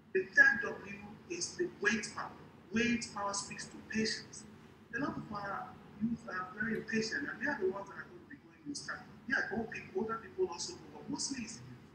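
A middle-aged Nigerian man with a high-pitched voice addresses a business panel like a mentor. He explains his points with emphasis and deliberate repetition. He is on a video call from a small, echoey room, with clear noise on the recording. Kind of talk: panel talk to a business convention. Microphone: wired earphones on a video call.

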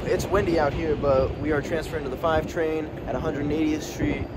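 A man's voice: fairly high pitch, a little fast, loud music behind the voice. A young man in his twenties chatting casually as a vlog host while walking outdoors, filming himself at arm's length. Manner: relaxed, offhand, chatty. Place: outdoors on windy city streets and subway platforms. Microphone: phone or camera held at arm's length.

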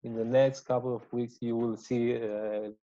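A man answering user questions for a company on a video call, in halting, non-native phrasing. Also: medium pitch, level tone, mellow. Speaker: company team member. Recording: dull video call audio.

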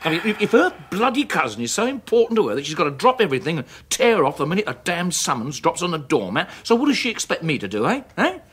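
An actor playing a bullying, sarcastic British husband in a radio drama, blustering and repeating himself, with a husky voice. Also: male voice, fairly high pitch, very animated, fast, few pauses, fairly bright tone, heavily acted. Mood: belligerent. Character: bullying, sneering, hard-drinking husband, sarcastic and resentful.

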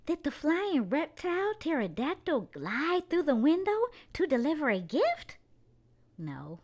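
Woman, children's storyteller, picture-book read-aloud, high voice, unhurried. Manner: slow, expressive read-aloud with drawn-out questions.

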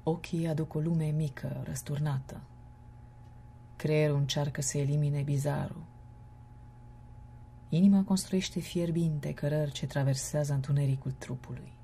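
A woman with a breathy, husky voice giving an expressive recitation of poetry. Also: sits low, pauses often, slight background noise. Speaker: poetry reader.

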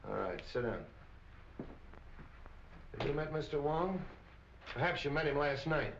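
An actor playing a gruff, accusing middle-aged police captain in a 1940s crime film, with clipped delivery. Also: male voice, fairly high pitch, lively intonation, unhurried, background noise. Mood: probing. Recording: muffled old film soundtrack.

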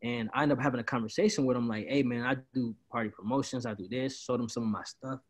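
A man's voice: medium pitch, fairly even intonation, quick pace. A man in his twenties from Illinois, a party promoter chatting loosely on a video call, rambling and often saying "bro". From Peoria, Illinois. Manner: laid-back, rambling, says "bro" often. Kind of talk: casual interview. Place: indoors, small room at home.

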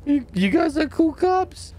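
A man's voice: extremely high pitch, sing-song, a little slow, a little mellow, faint music behind, voice pushed up, heavily acted. A man voicing a coy, simple-minded gamer character confessing to police, hesitant and childlike in his repetition. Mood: coy, sheepish.

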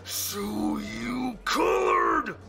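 An actor playing a furious, brutish shapeshifter gang boss in an audio drama, snarling and shouting with bluster. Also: male voice, extremely high pitch, lively intonation, drawn-out words, creaky, some chatter behind, heavily acted. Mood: enraged.

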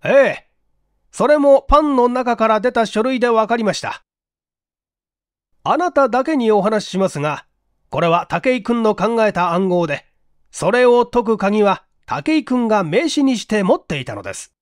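A man voicing a courteous, earnest police detective chief explaining things in a measured, polite way in an audiobook. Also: sits high, animated, no room sound, studio quality. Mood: explanatory. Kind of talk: dramatic audiobook dialogue.

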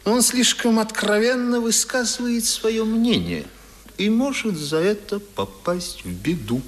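Sober, candid gentleman adviser: fairly high voice, expressive, deliberate, echoing radio-drama sound. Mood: concerned. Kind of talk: 1970s Soviet radio play acting.

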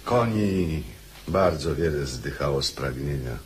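An actor playing a worn-out, aggrieved soldier in a radio drama, with a plaintive manner. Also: male voice, a bass voice, animated, unhurried, slight background noise. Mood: weary, suffering. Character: worn-out, aggrieved soldier recalling hunger and hardship.

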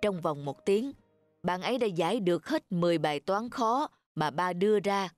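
A woman playing a calm, firm middle-aged father and school principal in a cartoon, measured and admonishing. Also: fairly low pitch, dry recording, natural voice. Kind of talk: animated cartoon voice acting. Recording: clear music behind the voice.

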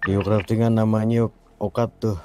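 A man's voice: lowish voice, little pitch movement, moderate pace, loud music behind the voice. A man with a colloquial Jakarta Indonesian accent arguing in an online gang roleplay game over voice chat. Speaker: gamer role-playing a gang member. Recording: game voice chat with crowded chatter.

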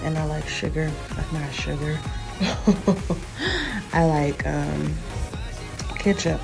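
A woman in her thirties with a low, gravelly voice chats easily to viewers while she cooks. Her talk is relaxed and loose, recorded on a phone in a home kitchen. Music plays clearly underneath. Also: low-quality recording. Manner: relaxed, chatty, addresses viewers as y'all. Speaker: cooking vlogger. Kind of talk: casual cooking vlog. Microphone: phone camera on the counter.

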